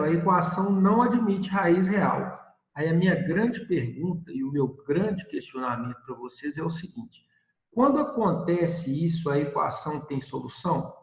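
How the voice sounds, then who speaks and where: A man's voice: fairly high pitch, vocal fry, roomy sound, rough recording. A male math teacher giving a recorded video lesson, explaining step by step and asking questions he answers himself.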